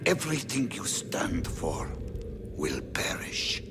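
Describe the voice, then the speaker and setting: An actor playing a grave, threatening villain in a dramatic video game, speaking with slow deliberate menace. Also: male voice, sits low, very animated, in a whisper, heavily acted. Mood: cold menace. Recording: loud music over the voice.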